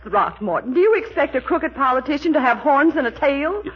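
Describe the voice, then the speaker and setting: An actress playing a refined, principled lady who is poised and gently reproving, in 1940s radio comedy style. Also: high-pitched, expressive, brisk. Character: refined, principled lady, cool and self-possessed. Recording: dull 1940s radio recording.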